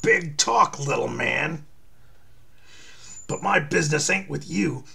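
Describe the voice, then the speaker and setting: A man's voice: fairly high pitch, lively intonation, takes it slowly, vocal fry, bright, forceful tone, voice pushed up, full character voice. Man acting out cocky, menacing comic-book brute. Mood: taunting.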